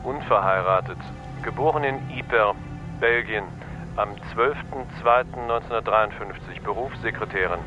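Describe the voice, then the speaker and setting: An actor playing an officious registry clerk in a German radio crime drama, terse and bureaucratic, heard as if over a phone. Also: male voice, on the low side, normal speed, music in the background, lightly acted. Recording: dull, band-limited phone call effect.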